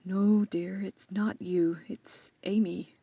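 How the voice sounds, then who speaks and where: Casting: patient middle-aged mother, measured and mellow, slightly dull recording, period radio play. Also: mid-range voice, flat delivery, breathy edge, no echo. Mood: gently regretful. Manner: gentle, measured. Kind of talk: audio drama acting of a period novel.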